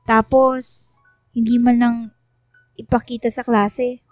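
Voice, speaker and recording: Woman playing a very high-pitched, caring schoolgirl friend. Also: level tone, takes it slowly, dry recording, clean studio sound, faint music behind. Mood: sympathetic. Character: Ella, concerned, kind-hearted schoolgirl friend. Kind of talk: conversational radio drama acting.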